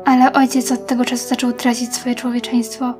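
A woman's voice: a little high, monotone, fairly quick, a little mellow, soft background music, lightly acted. A woman voicing a sad, sheltered young noble girl in a manga dub, melancholy and subdued. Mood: sorrowful.

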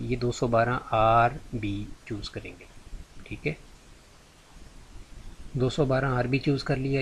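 A man's voice: medium pitch, slight background noise, low-quality recording. A man giving a patient, step-by-step screen-recorded tutorial as a channel host. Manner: patient, step-by-step, repeats "theek hai ji".

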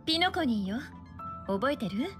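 An elegant, mature navigator from a game, with a fairly high voice. She sounds poised, confident and gently playful over faint music. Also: very animated, moderate pace. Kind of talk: anime-style video game acting.

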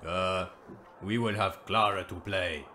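A deep-voiced man of few words in a radio comedy, played by an actor. He speaks in terse, clipped phrases with a bright, hard edge, and there is some noise behind him. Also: steady tone, unhurried. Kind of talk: comedy audio drama acting.

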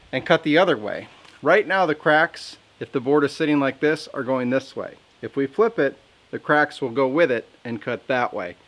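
A man talks outdoors beside his sawmill. His delivery is plainspoken and folksy as he explains his work to the camera at an ordinary, middle pitch. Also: a bit bright. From Southern Indiana. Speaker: sawmill owner.

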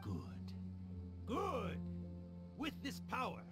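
A man's voice: wildly swooping pitch, drawn-out words, loud music behind the voice, exaggerated voice. A man voicing a mischievous spirit writer who hams it up as a cackling villain. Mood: gloating. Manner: theatrical, booming villain laughs. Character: mischievous spirit author, theatrical fake villain. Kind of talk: game cutscene voice acting.

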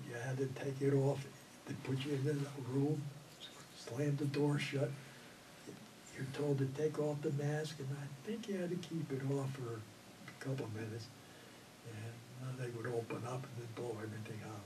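A man's voice: mid-range voice, flat delivery, moderate pace. An older man, a Navy veteran, telling his memories in a rambling, chuckling way in an oral history interview. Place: indoor studio before green backdrop. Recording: distant mic, audible room noise.

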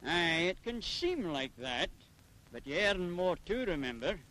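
Lilting, high-voiced Scottish explainer. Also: male voice, sing-song, measured pace, pushed and bright, full character voice, rough recording. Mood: matter-of-fact. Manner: didactic, emphatic, theatrical. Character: knowing, lecturing Scottish man explaining taxes simply. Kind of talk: 1940s public information film acting. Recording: 1940s film soundtrack.

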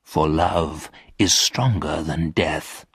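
Storybook ghost, acted by a man: centuries old, lonely and solemn, very husky, speaking slowly. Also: a bass voice, fairly bright tone, no room sound, studio quality, voice pushed down, full character voice. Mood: wistful, hushed. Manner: slow, weary, solemn delivery.

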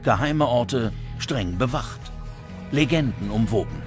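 A man reading scripted documentary narration with dramatic, measured phrasing. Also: medium pitch, expressive. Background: background music.

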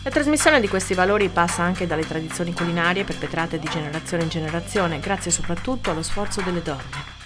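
Female reporter, low voice, quick scripted voice-over over music. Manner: brisk, even reading. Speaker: news reporter.

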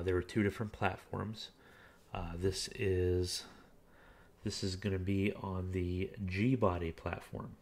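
Low-voiced man casually reviewing a car. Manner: relaxed, reads listing aloud with filler uhs. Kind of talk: casual screen-recorded commentary.

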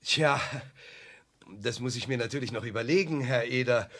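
A man's voice: medium pitch, moderate pace, a bit bright, lightly acted. A man playing a polite, formal doctor in a children's radio play, probing in a clinical, hesitant way. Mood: clinical, cautious.

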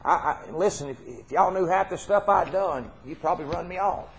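A man's voice: high-pitched, a little fast, a little hiss or hum. A middle-aged man with a Southern US accent preaching an informal, folksy, earnest sermon, repeating phrases for emphasis. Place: church hall stage, indoors.

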